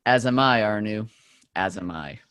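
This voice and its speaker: A man's voice: lowish voice, moderate pace, fairly bright tone, smooth, dry recording, studio quality, natural voice. A man playing a scornful, scheming medieval French soldier in a play reading, mocking and theatrical. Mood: scheming bravado. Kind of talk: stage play table read.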